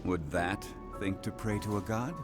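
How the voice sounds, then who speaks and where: An erudite, haughty scholar in a fantasy video game, voiced by a man. He speaks low, with pompous and archaic wording and a keen curiosity, over clearly audible music. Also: moderate pace, lightly acted. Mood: explaining. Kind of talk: video game character acting.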